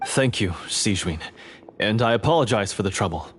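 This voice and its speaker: A man's voice: on the low side, animated, brisk, noisy background, natural voice. An actor playing a stern, loyal male prison guard in a video game, brusque and authoritative. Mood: respectful.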